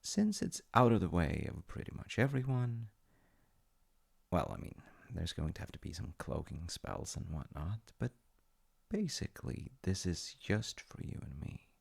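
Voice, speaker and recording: A man playing a playful, tender wizard fiancé in an intimate ASMR-style audio roleplay, speaking to the listener in a gentle, coaxing way. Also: deep voice, very animated, unhurried, gravelly, husky, full character voice. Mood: playful, enthusiastic. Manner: gentle, coaxing, often dropping into whispers. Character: playful, tender male wizard fiancé showing off magical home.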